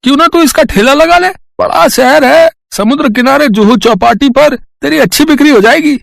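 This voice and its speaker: Chummy, eager cartoon friend: very high pitch, dry sound, storybook dialogue. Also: male voice, moderate pace, creaky, fairly bright tone, dry recording, heavily acted. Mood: excited. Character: eager, helpful young male friend, excitable and chummy.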